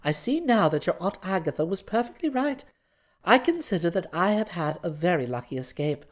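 A man's voice: high-pitched, animated, a little fast, voice pushed down. A man voicing a haughty, stern young English aristocratic woman in a storybook, cold, clipped and imperious. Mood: cold, severe.

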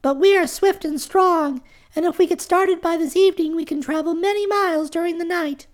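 A man voicing a proud young talking deer in a very high, squeaky falsetto for a storybook reading. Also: moderate pace. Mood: earnest.

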